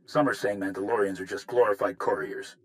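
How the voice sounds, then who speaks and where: An actor playing a gruff, loyal Mandalorian warrior advisor in a video game, measured and blunt. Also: male voice, lowish voice, close to an everyday voice. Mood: grave. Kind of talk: video game cutscene acting.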